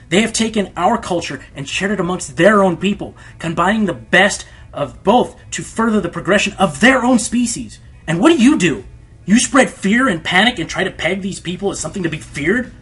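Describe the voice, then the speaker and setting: A man's voice: sits high, expressive, moderate pace, a bit bright, husky, full character voice. A man playing a passionate, self-deprecating male Pegasus radio host in an earnest radio play. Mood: outraged, impassioned. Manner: earnest, hesitant, turning indignant. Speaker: radio show host being interviewed. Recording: music behind the voice.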